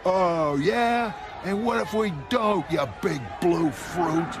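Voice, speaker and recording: High, jeering, outraged rioter. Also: male voice, takes it slowly, crowd noise. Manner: jeering, loud, indignant. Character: hostile, outraged rioter heckling a superhero. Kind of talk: motion comic character acting. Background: music.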